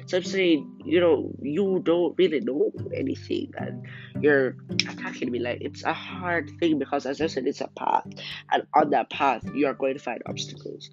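Young male podcast host, soft and deep, chatty and encouraging; muffled lo-fi audio with guitar music behind. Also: moderate pace. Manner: reflective, encouraging, talks in loose run-on thoughts with many fillers. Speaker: aspiring singer. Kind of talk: casual solo podcast talk. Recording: dull lo-fi sound over loud guitar music.

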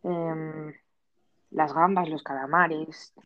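A woman's voice: low voice, fairly even intonation, lo-fi sound. A woman with a Spanish accent, a podcast guest chatting casually and giggling often. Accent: Spanish from Spain. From Spain. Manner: chatty, drawn-out sighs, giggly.

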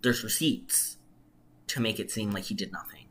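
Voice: low voice, expressive, moderate pace, a bit bright. A podcast co-host chatting casually about their opinions in an emphatic, slangy way. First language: non-English first language. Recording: clear background noise.

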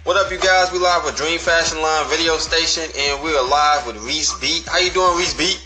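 Upbeat radio host guy, kinda high voice, music playing behind. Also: steady tone, normal speed, bright, forceful tone. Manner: upbeat, chatty, lots of "man". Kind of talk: casual interview chat.

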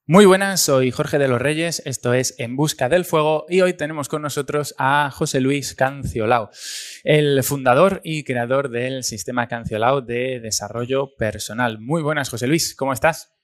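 A man's voice: mid-range voice, moderate pace. A man hosts a podcast interview in an upbeat, courteous way. His voice sits in the middle range for a man, and his long questions are sprinkled with small hesitations. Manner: upbeat, polite, some hesitant fillers. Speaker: podcast host.

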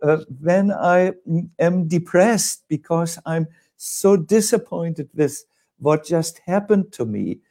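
Slow-talking man with German accent. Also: on the high side, expressive, darkish tone. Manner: hesitant fillers, chuckling, vivid examples. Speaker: spiritual teacher. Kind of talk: answering in a spiritual discussion.

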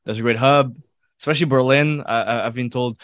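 A man's voice: mid-range voice, fairly even intonation, a little fast, fairly bright tone, no room sound, studio quality. A man chatting casually and informally as a podcast co-host, often repeating words as he goes. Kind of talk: casual chat.

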